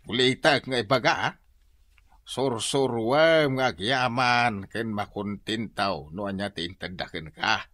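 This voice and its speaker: An actor playing an exasperated middle-aged husband in an Ilocano radio comedy-drama, exclamatory and chiding, with an Ilocano accent. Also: male voice, lowish voice, unhurried, fairly bright tone, no room sound. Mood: scolding. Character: scolding older husband, exasperated with his wife.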